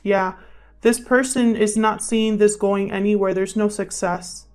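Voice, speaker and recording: A woman hosts an improvised tarot reading with music playing behind her. Her voice sits at a middling pitch for a woman. She talks conversationally, thinking aloud as she addresses the cards and her viewers. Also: fairly even intonation, a little slow, darkish tone. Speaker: tarot reader, channel host. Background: background music.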